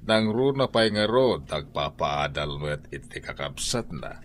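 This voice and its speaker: A man's voice: a bass voice, big pitch swings, measured pace, a little hiss or hum, full character voice. An actor with an Ilocano accent playing a stern wealthy husband who lectures his wife in a radio drama. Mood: reproachful.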